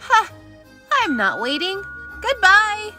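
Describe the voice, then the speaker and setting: A woman's voice: very high voice, huge pitch swings, slow, music in the background, pitched above the performer's own voice, heavily acted. A woman voicing an impatient, demanding little boy frog in a children's storybook, whiny and insistent. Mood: impatient, defiant.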